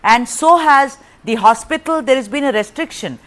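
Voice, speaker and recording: A woman's voice: high voice, moderate pace, fairly bright tone. Probing news anchor woman. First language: Tamil. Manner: probing, pressing questions. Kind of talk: live TV interview.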